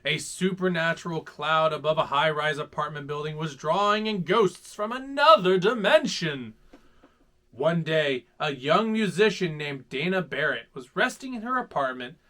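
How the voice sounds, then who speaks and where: A man hosting a children's story time, reading aloud in a playful, dramatic, animated way. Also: a little high, moderate pace. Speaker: host reading children's stories.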